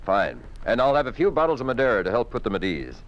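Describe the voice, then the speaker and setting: Actor as courteous professor, lively old-radio delivery. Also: male voice, mid-range voice, fast talker, close to an everyday voice. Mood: polite, welcoming. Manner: polite, deferential. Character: courteous, accommodating male science professor and host. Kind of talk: 1950s radio drama acting. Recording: muffled, noisy old 1950s radio recording.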